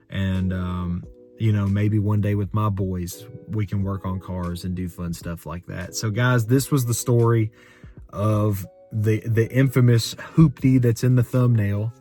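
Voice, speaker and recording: A young American man tells a long car story in a low, monotone voice, full of fillers and restarts. Faint music plays under his talk. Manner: rambling, filler-heavy, conversational. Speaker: car vlogger. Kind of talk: unscripted story time rant.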